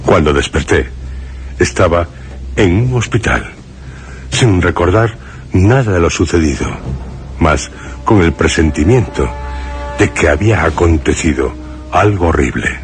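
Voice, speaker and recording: An actor from a Spanish radio drama playing a haunted, horrified man who recounts his ordeal in grave, halting phrases with a Castilian accent. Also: low-pitched, animated. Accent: Spain. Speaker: first-person narrator in a radio drama. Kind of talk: suspense and horror radio drama narration. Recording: music under the voice. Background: prominent music.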